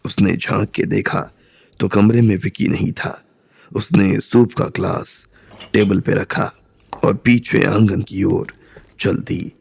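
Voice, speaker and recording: A man's voice: on the low side, gravelly, darkish tone, dry recording, clean studio sound. A man reading a scripted story narration as an audiobook narrator.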